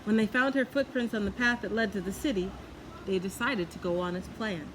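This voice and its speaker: A woman's voice: medium pitch, brisk. A woman narrating a children's story from a book as a storyteller. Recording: clearly noisy background.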